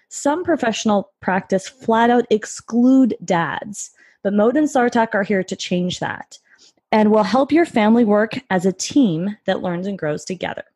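A woman's voice: medium pitch, darkish tone, slightly rough, no room sound. A woman hosting a podcast interview, upbeat and encouraging with her guests. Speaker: podcast host.

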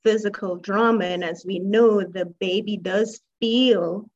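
A woman from Trinidad and Tobago, a guest recounting her childhood in an interview. She speaks slowly and reflectively, pausing with soft hesitations, and her mid-pitched voice comes through somewhat muffled, lo-fi call audio. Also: fairly even intonation. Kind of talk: personal life story in an interview.

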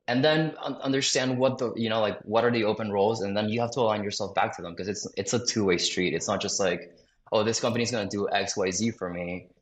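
A young man from South Florida talks casually as a podcast guest. His voice sits fairly low. He rambles through fillers and restarts, and the room around him sounds echoey. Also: fast. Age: young adult. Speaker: sales director, podcast guest. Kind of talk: casual interview talk.

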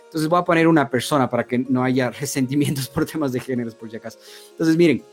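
A man's voice: medium pitch, fast talker, faint music behind. A man with an Ecuadorian accent giving an informal sales lesson with role-played examples, often checking in with short tag questions. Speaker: sales and marketing trainer.

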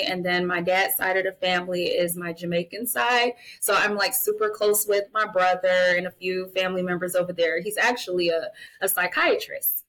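A woman's voice: medium pitch, pushed and bright, poor audio. A woman in her late thirties speaking as a podcast guest, giving candid, emphatic personal advice straight to the listeners. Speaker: podcast guest, mental health advocate and guardian. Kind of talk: conversational interview, personal testimony and advice.

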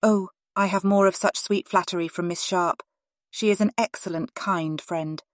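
A woman's voice: on the low side, steady tone, normal speed, dry recording, close to an everyday voice. A woman playing a genteel, candid Regency-era lady of letters in a refined, composed dramatized reading. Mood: fond.

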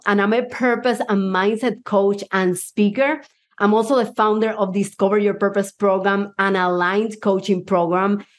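A woman from Cuba with a Puerto Rican accent, Spanish her first language, giving an enthusiastic motivational talk to a live audience as a mindset coach. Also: medium pitch. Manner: enthusiastic, personal storytelling.

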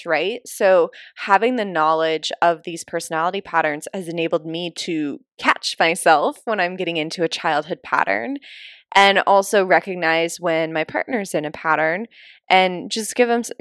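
A young adult woman hosting her own podcast, her voice slightly raspy from travel. She talks in a chatty, earnest way, full of casual fillers. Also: lowish voice, no room sound, clean studio sound. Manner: chatty, earnest, laughs often, many fillers like "like" and "you know". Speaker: podcast host and business coach. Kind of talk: casual solo podcast monologue.

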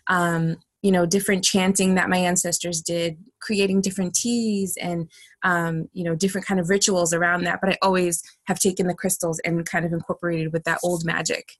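A woman's voice: on the low side, flat delivery, brisk, dry recording. A woman speaking casually and informally as a guest in an interview, peppering her talk with filler phrases like "like". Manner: informal, frequent "like" and "you know". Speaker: podcast guest, artist and crystal healer.

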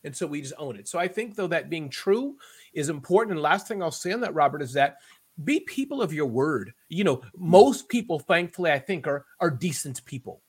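A man in casual conversation, energetic and loud, projecting his voice and tossing in playful jokey asides. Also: a little high, brisk, breathy edge.

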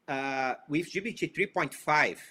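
Italian-Portuguese accented man, bright voice. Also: a little high, husky. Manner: enthusiastic, halting with restarts. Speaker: panel guest. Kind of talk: casual discussion.

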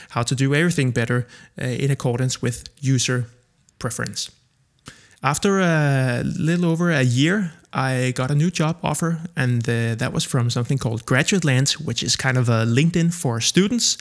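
A Danish man in his thirties, a native Danish speaker, hosting his own podcast and telling his background in a relaxed way with many pauses and fillers. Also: medium pitch. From Denmark. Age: 30s. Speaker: podcast host. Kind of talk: casual solo podcast monologue.

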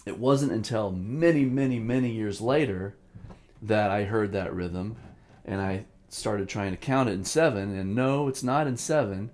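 A man's voice: fairly low pitch, measured pace, darkish tone. A man in his fifties giving an informal drumming lesson with personal reminiscence, speaking reflectively and repeating phrases for emphasis. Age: 50s. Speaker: drum teacher, host.

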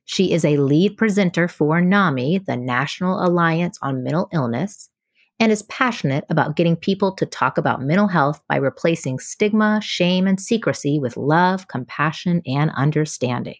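A woman's voice: deep voice, lively intonation, normal speed, dry recording, clean studio sound. A woman hosting a podcast, speaking in a scripted presenter style and interviewing guests. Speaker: podcast host and psychologist.

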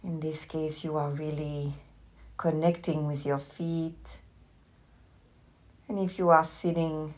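A woman teacher leading a guided meditation with gentle, unhurried instructions and long pauses. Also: low voice, fairly even intonation.

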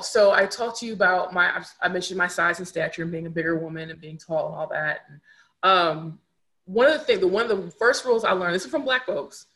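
Earnest woman with a low voice. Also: fairly bright tone. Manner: earnest, reflective, repeats words for emphasis. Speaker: podcast guest. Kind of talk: candid conversational storytelling.

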